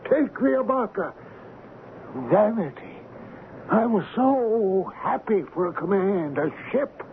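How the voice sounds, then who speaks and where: A man playing a guilt-ridden, desperate old ship captain in an old radio drama, halting and repeating himself in anguish. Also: high voice, animated, exaggerated voice. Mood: bitter self-reproach. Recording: noisy tinny old radio drama recording.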